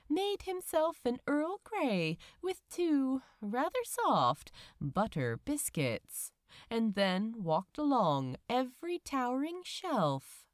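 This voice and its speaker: Woman reading a story audiobook style, slow and measured w pauses, really animated pitch. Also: no room sound, clean studio sound. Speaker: podfic narrator.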